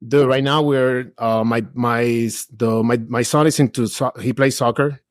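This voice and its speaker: A man's voice: mid-range voice, normal speed, no room sound, clean studio sound. A man in his forties, raised in Peru, talking casually as a podcast guest, often saying "dude" and "bro". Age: 40s. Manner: relaxed and chatty, drops "dude" and "bro", many restarts. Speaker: guest, real estate investor. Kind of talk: casual interview talk.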